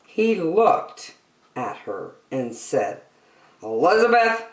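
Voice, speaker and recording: A woman reading a picture book aloud to children as a storyteller, expressively, with deliberate dramatic emphasis on key words. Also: deep voice, expressive. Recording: roomy indoor echo.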